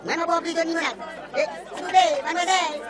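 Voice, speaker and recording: Extraterrestre empressée à voix aiguë. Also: female voice, extremely high pitch, fairly even intonation, fast, a crowd in the background. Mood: welcoming. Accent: Réunion Creole. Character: polite, eager alien host of visiting humans. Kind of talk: comic radio serial acting.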